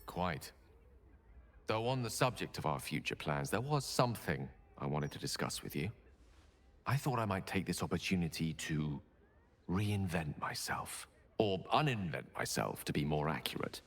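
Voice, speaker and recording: An actor playing a tender, brilliant wizard in a video game, speaking in an eloquent, breathy, intimate and hesitant way. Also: male voice, sits low, expressive, normal speed. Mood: playful, formal. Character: brilliant, tender, wordy male wizard in love.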